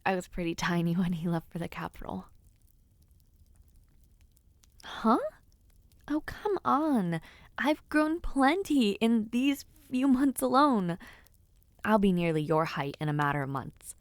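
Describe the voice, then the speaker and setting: A woman acts out a young highborn girl in an intimate fantasy audio drama. She speaks slowly and softly, with a sweet, playful temper and small hesitations. Her mid-pitched voice sits in a very dry, intimate recording. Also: expressive, dry recording. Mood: playful, chatty. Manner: soft, hesitant, gently teasing.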